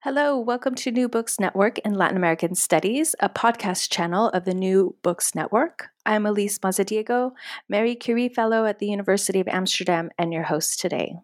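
A woman's voice: medium pitch, fairly quick, no echo. Brief: woman podcast host, polite and encouraging, dry close-mic sound. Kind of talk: interview hosting.

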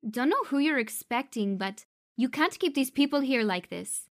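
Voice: high voice, animated, normal speed, big-room sound, voice pushed up. A narrator voicing a bold, defiant young woman gunslinger in a dramatic audiobook. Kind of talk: dramatic audiobook character acting.

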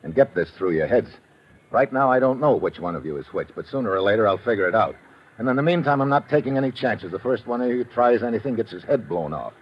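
A man's voice: on the low side, expressive, quick pace, breathy edge. A man playing a tough, weary American adventurer in an old radio drama, clipped and slangy as he narrates and gives orders. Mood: stern, commanding. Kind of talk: old-time radio adventure acting, hard-boiled narration. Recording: dull vintage radio recording, music behind.

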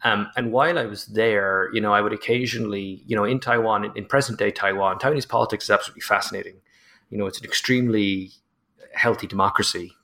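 A man's voice: on the low side, fairly even intonation, fast, pushed and bright. A man in his forties with an Irish Limerick accent, a history professor chatting rambly as an interview guest. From Ireland. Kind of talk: relaxed interview answers.

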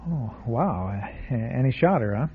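An actor playing a nervous young traveling reporter who stammers and hesitates, in old-time radio drama style. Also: male voice, mid-range voice, expressive, measured pace, slightly rough. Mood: uneasy. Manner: hesitant, stammering with uneasy fillers. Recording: phone-like old radio broadcast with faint music.

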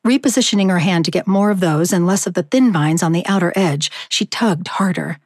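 Breathy woman narrating an audiobook. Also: lowish voice, fairly quick, no echo, studio quality. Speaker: narrator reading a book excerpt.

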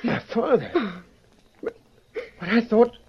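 A high-voiced young western son. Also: male voice, slow. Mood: bewildered. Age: young adult. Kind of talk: old-time radio western acting. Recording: dull, noisy vintage radio recording.

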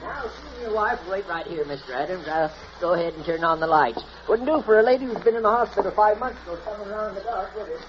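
An actor playing a courteous, bustling office helper in a 1940s radio drama, solicitous and chatty. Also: male voice, high-pitched, quick pace, hardly any pauses, natural voice. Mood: helpful. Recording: muffled old radio, busy crowd noise.

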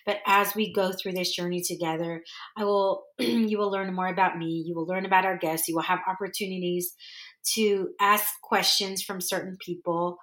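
A woman's voice: on the low side, fairly even intonation, normal speed, fairly bright tone, roomy sound, low-quality recording. A woman from San Diego hosting a podcast, talking in a rambling, earnest way and often correcting herself. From San Diego, California. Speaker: podcast host. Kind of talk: casual solo monologue.

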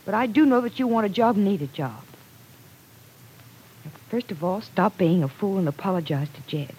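A woman's voice: mid-range voice, expressive, normal speed, a little mellow, a little hiss or hum, natural voice, poor audio. An actress playing an earnest, persuasive young businessman's daughter in an old radio drama, pleading and insistent. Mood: firm, scolding. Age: young adult.

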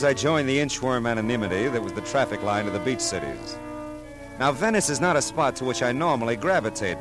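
An American actor playing a tough, world-weary private detective in 1950s radio noir, wry and hard-boiled in delivery. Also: male voice, mid-range voice, close to an everyday voice. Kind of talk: 1950s radio noir acting, first-person narration.

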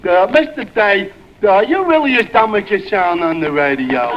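Dim, amiable lug, high hesitant voice, old-time radio comedy, muffled noisy recording. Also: male voice, lively intonation. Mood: dim, friendly. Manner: halting, ungrammatical, hesitant. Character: dim-witted, friendly, slow-thinking barfly pal. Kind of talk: 1940s radio comedy acting.

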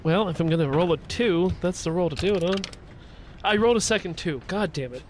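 A man's voice: high-pitched, a little fast, a little hiss or hum. A man playing a tabletop game, bantering and joking casually with friends.

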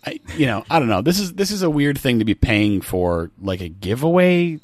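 A man's voice: mid-range voice, very animated, normal speed, dry recording, clean studio sound. An American man chatting casually as a comedy podcast co-host, riffing with wry, exasperated, hedging commentary. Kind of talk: casual comedic chat, riffing on a news story.

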